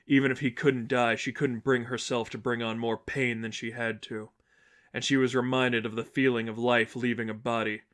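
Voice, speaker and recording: A man's voice: medium pitch, fairly bright tone. A man reading fan fiction aloud as dramatic scripted narration.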